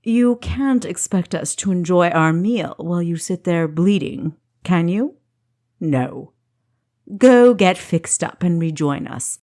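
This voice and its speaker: A woman's voice: fairly low pitch, animated, slow, warm tone. A woman voicing a genial but commanding male doctor in a fantasy audiobook, with courteous, fussy manners. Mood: firm, teasing.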